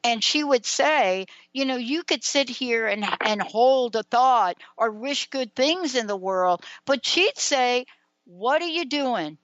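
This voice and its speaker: A woman co-hosts a spiritual radio talk show in a reflective, conversational way, often saying "you know". Her voice sits a little high, and the sound is dry and somewhat muffled. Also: expressive, takes it slowly, fairly bright tone, no room sound. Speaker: radio co-host.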